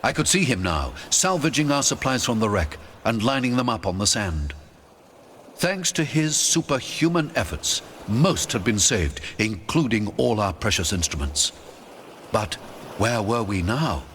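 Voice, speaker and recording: A man's voice: fairly low pitch, very animated, measured pace, fairly bright tone, slight background noise. A man narrating an adventure story in a dramatic, theatrical scripted style.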